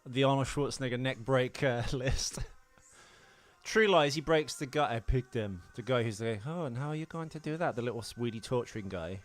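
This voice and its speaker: A man's voice: medium pitch, brisk. A man co-hosting a casual movie podcast, bantering with dry, teasing remarks and some swearing. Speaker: podcast co-host.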